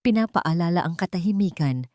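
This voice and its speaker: Filipino woman narrating a dramatic love story, deep soft-toned voice, really dry sound. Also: animated, brisk, no echo. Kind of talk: dramatic scripted romance narration.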